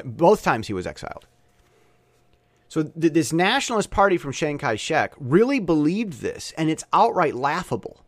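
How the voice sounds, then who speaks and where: Animated rambling man with a lively voice. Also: fairly high pitch, no echo. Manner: rambling, animated, frequent restarts. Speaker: podcast host. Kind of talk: casual monologue. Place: Taiwan.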